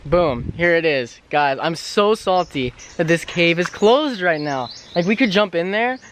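A young man chatting through a travel vlog with a slightly high voice, clear background music under him. He talks to a handheld camera at arm's length, filling pauses with "like". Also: expressive, a bit bright. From United States. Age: young adult. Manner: chatty, talks straight to camera, many "like" fillers. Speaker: travel vlogger. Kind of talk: casual travel vlog narration. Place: outdoors on forest paths and indoors in a room.

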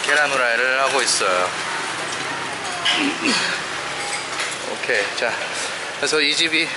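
A man casually narrating a food vlog, chatting about dishes and prices on camera at street restaurants. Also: medium pitch. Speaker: travel vlogger. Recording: loud street noise, traffic.

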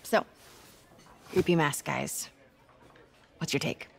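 A woman's voice: sits low, takes it slowly, creaky, exaggerated voice. An American woman in her twenties playing a candid, guarded young reporter in a game cutscene, wry and probing. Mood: wry, curious. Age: 20s. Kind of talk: naturalistic video game cutscene acting.

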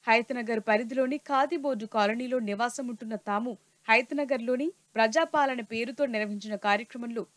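Higher-pitched woman reading news voice-over. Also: no echo. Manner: steady formal reportage. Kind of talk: scripted news narration.

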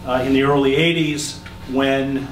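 A man telling a personal story on stage into a handheld mic, measured and dryly humorous. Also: mid-range voice, steady tone, a little hiss or hum. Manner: wry, measured, dry humor. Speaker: guest speaker. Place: large theater stage. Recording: echoey hall, mic heard from far.

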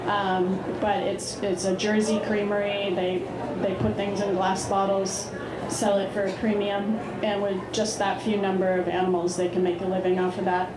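A woman giving an informal slide presentation at a conference, speaking in a conversational, explanatory way. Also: medium pitch. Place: large indoor meeting hall with seated audience. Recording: roomy, noisy hall recording. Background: room noise and ambience.

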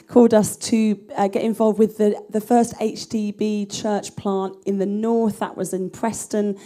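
Earnest panelist woman, mellow tone, handheld mic, large room. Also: medium pitch. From England. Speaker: panelist, church planter. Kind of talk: personal introduction on a conference panel.

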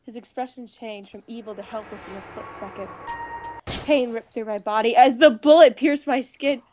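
A woman's voice: fairly high pitch, moderate pace, darkish tone, a little hiss or hum. A woman playing and reading a visual novel aloud, mixing dramatic narration with casual commentary.

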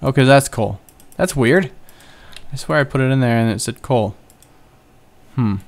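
A man's voice: medium pitch, moderate pace. Chatty man narrating his gameplay. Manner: chatty, rambling, self-joking. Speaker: gaming channel host. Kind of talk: casual Let's Play commentary.

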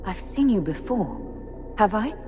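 A woman's voice: mid-range voice, expressive, takes it slowly. A woman playing a guarded, defiant elf hero in a video game, with a dry, firm manner. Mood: wary. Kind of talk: video game cutscene acting. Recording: music behind.

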